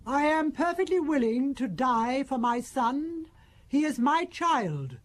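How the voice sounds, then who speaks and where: A woman's voice: high-pitched, very animated, slow, full character voice. An actress playing a haughty, possessive older mother in a radio detective drama, indignant and imperious. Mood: defiant. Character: haughty, possessive, defiant mother of a grown son.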